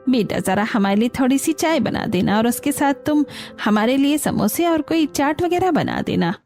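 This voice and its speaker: A woman's voice: high voice, expressive, moderate pace, darkish tone. A woman voicing a fussy, demanding older aunt who is a guest in a cartoon. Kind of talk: Hindi cartoon dialogue.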